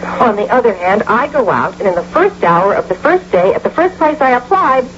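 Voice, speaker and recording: An actress playing a pragmatic, blunt wife and mother, speaking matter-of-factly and needling gently in a radio drama. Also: on the high side, very animated, moderate pace. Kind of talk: 1980 radio drama acting. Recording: muffled old radio broadcast, faint hum.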